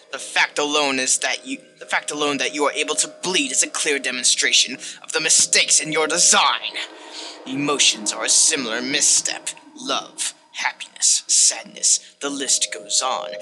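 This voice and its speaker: A man voicing a hateful, condescending machine-like game narrator, delivered as a dramatic reading with deliberate disdain. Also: mid-range voice, bright, forceful tone, low-quality recording. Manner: dramatic, disdainful, deliberate second-person address. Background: music.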